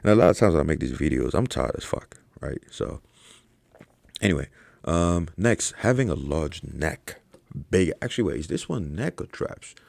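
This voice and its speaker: A man's voice: deep voice, expressive, moderate pace, creaky, darkish tone, no echo. A young man talking casually to camera as a fitness coach, profane and slangy, with lots of fillers and "right?" tags. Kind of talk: casual ranking commentary to camera.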